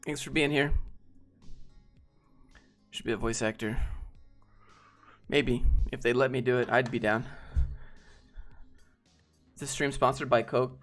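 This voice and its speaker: A soft-voiced man from the American South, chatting casually on a live stream, rambling with fillers and frequent laughs. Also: mid-range voice, unhurried, long pauses, soft background music. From Southern United States. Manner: relaxed, rambling, laughs often, many fillers. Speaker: streamer and host.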